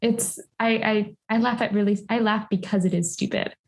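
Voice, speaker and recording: Casting: woman with an average-pitched voice, informal podcast co-host in easy book discussion. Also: fairly even intonation, normal speed, creaky.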